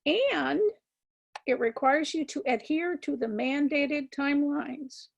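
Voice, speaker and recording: A woman with a scratchy voice giving a measured, emphatic legal lecture to attorneys, with frequent ums and words repeated for stress. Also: sits high, gravelly, dull audio. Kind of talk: continuing-education lecture.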